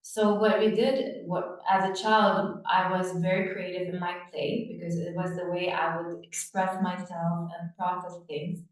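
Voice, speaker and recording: Woman from lebanon, lowish voice, kinda far off the mic in an echoey room, slow thoughtful answers. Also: little pitch movement. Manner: soft-spoken, reflective, with many pauses and fillers. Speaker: play therapist guest. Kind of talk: podcast interview.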